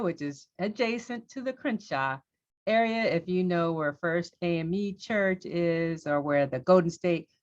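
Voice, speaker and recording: A middle-aged woman from Los Angeles, a judge, telling her career story on a panel in a candid, lively way. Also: deep voice, lo-fi sound.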